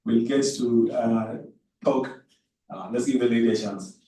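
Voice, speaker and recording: A man's voice: medium pitch, a little slow, dark tone. A man informally moderating a question session and handing the floor to others. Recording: echoey room, distant mic, faint noise.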